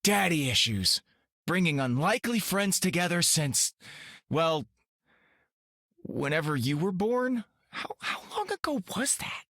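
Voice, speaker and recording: An actor playing a laid-back, wisecracking rogue hero in a video game, flippant, casual and rambling. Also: male voice, fairly high pitch, pushed and bright, no room sound, clean studio sound. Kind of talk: video game character dialogue.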